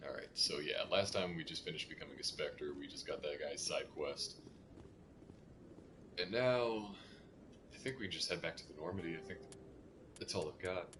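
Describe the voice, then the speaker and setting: A man chatting casually on a live game stream, thinking out loud and greeting viewers. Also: low-pitched, normal speed, pauses often, background noise. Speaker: game streamer and voice actor with an ASMR roleplay channel. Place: indoors, live gaming stream. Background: game music.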